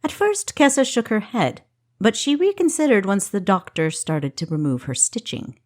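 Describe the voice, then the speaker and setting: A woman's voice: mid-range voice, huge pitch swings, moderate pace. A woman reading scripted fiction as an audiobook narrator.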